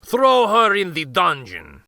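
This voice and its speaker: A man's voice: high voice, wildly swooping pitch, takes it slowly, gravelly, sharp tone, no room sound, pitched above the performer's own voice, full character voice. A man voicing a scornful, imperious old Grand Vizier in a children's fairytale. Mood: commanding. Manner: imperious, scoffing.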